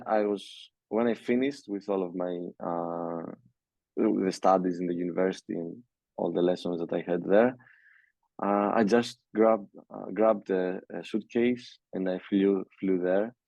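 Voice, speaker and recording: A young man from Greece speaks accented English in a low, mellow voice. He hesitates and restarts his sentences often, filling gaps with "uh". The sound is dry and dull, like lo-fi call audio. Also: creaky, dry recording. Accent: Greek. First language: Greek. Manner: halting, many fillers and restarts. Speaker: music producer, guest. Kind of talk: casual interview.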